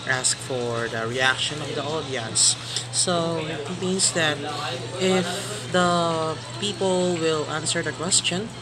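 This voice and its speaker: A young man from the Philippines with a Filipino accent, a student giving an informal class presentation, halting and restarting his phrases, recorded on a phone at arm's length in a small room. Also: fairly high pitch, very noisy. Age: young adult. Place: small indoor room, ceiling lights. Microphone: phone camera at arm's length.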